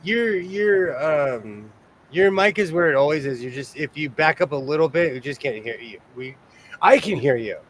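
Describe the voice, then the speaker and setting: Male host, somewhat high voice, rambling self-deprecating chatter. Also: lively intonation, normal speed, a bit bright, a little hiss or hum. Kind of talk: casual chat.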